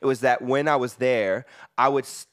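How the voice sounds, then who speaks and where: A man's voice: medium pitch, steady tone, normal speed. An American man, a guest recording artist, chatting in an easygoing way with loose, run-on phrases. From United States. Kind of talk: casual interview chat.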